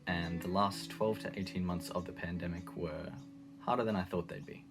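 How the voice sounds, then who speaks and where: A man's voice: deep voice, steady tone, moderate pace. An Australian man in his late twenties giving a personal, reflective account. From Brisbane, Australia. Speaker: interviewee. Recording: music behind the voice. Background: plucked guitar music.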